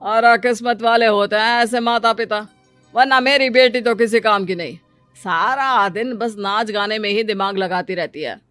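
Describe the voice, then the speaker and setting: A woman's voice: on the high side, moderate pace, a bit bright, smooth, no echo, lightly acted. A woman playing a dismissive, complaining middle-aged father in a cartoon story. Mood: scornful disbelief. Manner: sarcastic, scornful, complaining. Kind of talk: acted cartoon story dialogue. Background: music.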